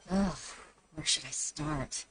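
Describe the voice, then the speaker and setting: A woman's voice: low-pitched, takes it slowly, fairly bright tone, slight background noise, low-quality recording. An actress voicing a respectful, easily flustered young female junior official in a video game.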